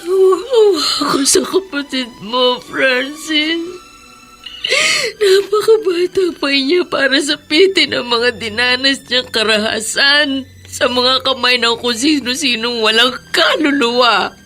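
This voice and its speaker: A woman's voice: sits high, takes it slowly, sharp tone, faint music behind, full character voice. A woman playing an emotional, fiercely protective middle-aged mother in a Filipino radio drama. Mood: grieving. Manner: emotional, melodramatic. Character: distraught, fiercely protective mother of grown children.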